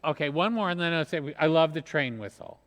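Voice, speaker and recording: A man's voice: fairly high pitch, expressive, quick pace, fairly bright tone, a little hiss or hum. A man hosting a casual, conversational interview. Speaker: host.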